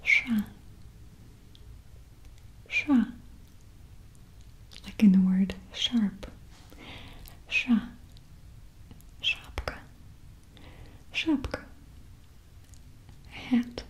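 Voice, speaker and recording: A woman with a Russian accent, a native Russian speaker, softly whispering a patient lesson on the Russian alphabet, repeating each sound. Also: fairly high pitch, drawn-out words, pauses often. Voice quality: soft whisper. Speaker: language teacher.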